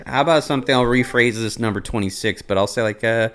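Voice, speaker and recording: A woman hosts a podcast in a very deep, low voice. She chats casually and easily, putting questions to her guests in a relaxed, conversational way. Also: fast. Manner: relaxed, easygoing, asks questions. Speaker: podcast host. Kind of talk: casual chat.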